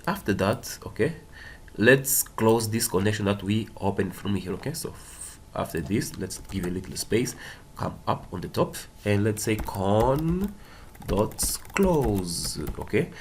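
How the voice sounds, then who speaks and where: A man's voice: on the low side, expressive, unhurried. A man with a Portuguese accent, a native Portuguese speaker, giving a casual step-by-step coding tutorial, often repeating words and checking in with short tags. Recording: clear background noise, keyboard typing and clicks. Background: computer keyboard typing and clicks.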